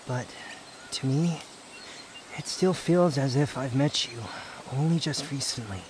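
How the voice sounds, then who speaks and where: A man playing an aloof, proud young wanderer in an intimate roleplay audio drama, guarded yet secretly fond, with a brooding, haughty delivery full of pauses. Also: mid-range voice, noisy background, lightly acted. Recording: outdoor nature ambience with insects. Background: insect and nature ambience.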